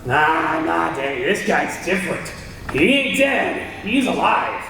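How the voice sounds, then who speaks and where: A man's voice: on the high side, very animated, slow, gravelly, pushed and bright, slight background noise, heavily acted. An actor playing a condemned male killer in a radio drama, talking tough gangster slang, tense and scheming. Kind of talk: 1930s radio drama acting. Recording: echoey old radio recording from far off.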